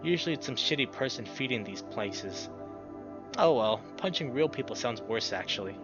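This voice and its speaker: A man's voice: medium pitch, expressive, normal speed, lightly acted. Man as anxious male bear, visual novel character, earnest, defensive, lo-fi, music behind. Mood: defensive, determined. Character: anxious male bear, gruff but devoted boyfriend. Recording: dull lo-fi sound, music behind.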